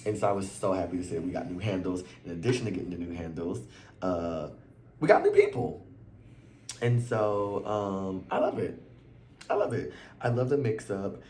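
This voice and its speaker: Recap host, male, deep voice, a bit worn out, chatty and animated, echoey room. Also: moderate pace, slight background noise. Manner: animated and chatty, slangy, leaps into high exclamations. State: voice a little worn. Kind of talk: casual chat to camera.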